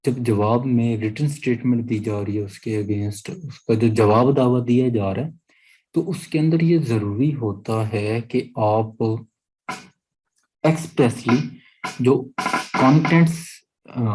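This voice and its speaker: A man with a Pakistani accent teaching law in an online lecture, reading out statute and explaining it deliberately, often checking that listeners follow. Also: mid-range voice, warm tone, breathy edge, poor audio.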